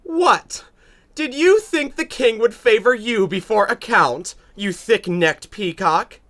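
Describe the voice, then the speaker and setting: An actor playing a haughty, sneering rich suitor in an audiobook, with pompous, mocking theatrical delivery. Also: male voice, high voice, slow, fairly bright tone. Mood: scornful.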